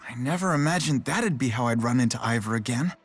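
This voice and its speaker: A man's voice: medium pitch, animated, fast, slightly rough. A man playing a mild, awkward young man in a video game, speaking hesitantly and apologetically. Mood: rueful.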